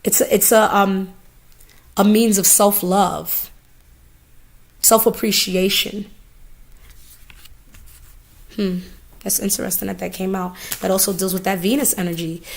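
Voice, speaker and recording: Hoarse woman giving a casual card reading. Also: medium pitch. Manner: casual and profane, repeats phrases. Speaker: spiritual reader. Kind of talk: live oracle card reading.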